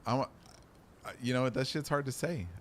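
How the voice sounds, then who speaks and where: A man's voice: mid-range voice, fairly quick. A middle-aged man giving casual, folksy, joking commentary as he streams a game, rambling as he goes. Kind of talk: casual live gaming commentary. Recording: clearly noisy background.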